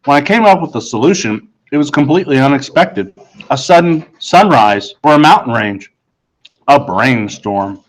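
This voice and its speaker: A man's voice: mid-range voice, expressive, moderate pace, low-quality recording. A man reading a first-person children's story aloud as scripted narration. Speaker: audiobook reader.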